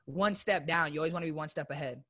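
A man's voice: a little high, fast, a bit bright, dry recording, clean studio sound. A young man from Florida, a guest YouTuber, talking in a loose, slangy way with lots of fillers. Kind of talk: casual interview chat.

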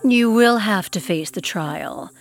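A woman's voice: medium pitch, takes it slowly, soft background music. An actress playing a sensible witch leader, explaining things matter-of-factly in a comic audio drama. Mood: grave. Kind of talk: audio theatre comedy acting.

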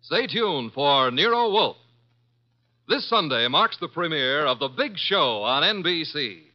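A man's voice: fairly high pitch, sing-song, measured pace. A man announcing radio programs in an emphatic, showman-like 1950s promotional style. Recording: muffled 1950s radio recording.

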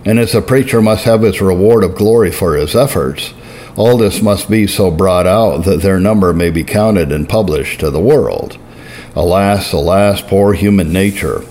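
A man giving a solemn, deliberate reading of historical religious writing, slowing down to stress key phrases. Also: low-pitched, a little mellow. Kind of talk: measured scripted reading.

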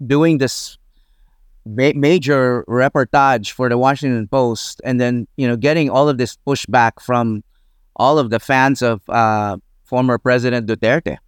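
A man with a Filipino accent hosting an interview, putting his questions in a conversational, halting way. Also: medium pitch, steady tone, smooth, dry recording, clean studio sound. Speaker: interviewer, podcast host.

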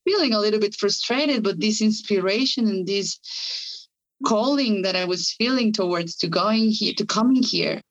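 A woman's voice: medium pitch, moderate pace, dull audio. A woman whose first language is Spanish, speaking English with a Spanish accent in a casual conversation, eager, with lots of fillers and restarts. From Spanish-speaking country. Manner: enthusiastic, many fillers and restarts.

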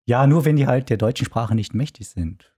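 A man's voice: mid-range voice, brisk, mellow, husky. A man co-hosting a podcast in casual chat, with dry, self-deprecating humor. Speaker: podcast co-host.

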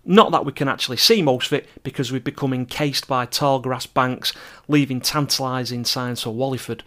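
A middle-aged man narrates a travel podcast at a medium pitch. He reads descriptive scripted prose and drops in chatty asides. His manner is wry and self-deprecating. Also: moderate pace, a bit bright. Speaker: podcast host and travel writer.

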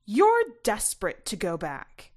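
A woman voicing a hard, embittered mother in a story, sharp, scornful and forceful. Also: mid-range voice, wildly swooping pitch, slow, voice pushed up, heavily acted. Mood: scornful anger.

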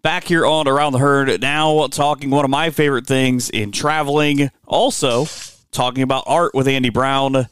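A man's voice: mid-range voice, steady tone, moderate pace, a bit bright, smooth. A man hosting a sports podcast, chatting in an easygoing, conversational way. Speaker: sports radio host.

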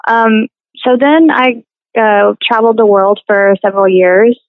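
A woman in her thirties as a podcast guest, talking hesitantly with many pauses and fillers. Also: on the high side, fairly even intonation, no room sound, phone-quality sound. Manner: hesitant, many ums and uhs. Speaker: guest, singer and actress. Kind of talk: casual interview chat.

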